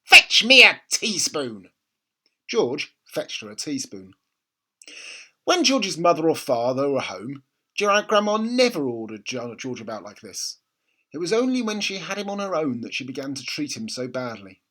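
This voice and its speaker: A man's voice: mid-range voice, very animated, moderate pace, a bit bright. A man narrating a children's book expressively, as a storybook reader.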